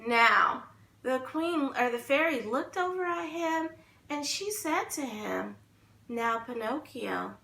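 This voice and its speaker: High, bright-voiced woman telling a fairy tale. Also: slow pace, vocal fry. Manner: expressive, dramatic. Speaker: storyteller. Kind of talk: animated, improvised retelling of a children's fairy tale. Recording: roomy echo, faint background noise.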